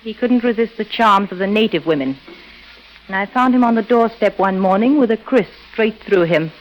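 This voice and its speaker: Woman acting a brisk, cynical widow. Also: mid-range voice, animated, highs cut off, natural voice. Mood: sardonic. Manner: brisk, sardonic, unsentimental. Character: brisk, cynical English widow guide in the jungle. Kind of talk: old-time radio adventure acting. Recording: old radio drama recording with music.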